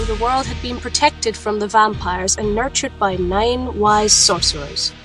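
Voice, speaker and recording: Woman as a solemn fantasy alchemist over loud music. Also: medium pitch, rough recording. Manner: solemn, theatrical. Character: grave female alchemist of a sisterhood, recounting battles. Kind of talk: dramatic first-person scripted narration. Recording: loud music bed underneath.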